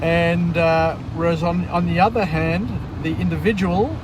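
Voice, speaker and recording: Loud car and road noise surrounds an Australian man of about sixty with a high-pitched voice. He talks as a vlog host in a reflective monologue, pausing to find words and repeating phrases for emphasis. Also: steady tone. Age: around 60. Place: inside a moving car.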